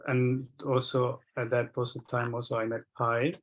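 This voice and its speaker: A man from Sri Lanka whose first language is Tamil, sharing his experience of energy work in a halting, reflective way with many restarts. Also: medium pitch, little pitch movement.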